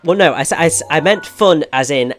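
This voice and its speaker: A man with a naturally dry, deadpan voice, giving directions and chatting over a game. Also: a little high, brisk, soft background music. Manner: guiding, precise, rambling over-explainer. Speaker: co-commentator. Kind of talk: casual let's-play chat.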